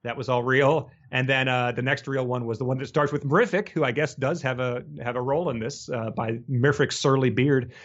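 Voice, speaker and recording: Man, medium pitch, podcast co-host, casual book chat, halting delivery, slightly muffled lo-fi recording. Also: fairly even intonation. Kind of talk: casual book discussion with read-aloud passages.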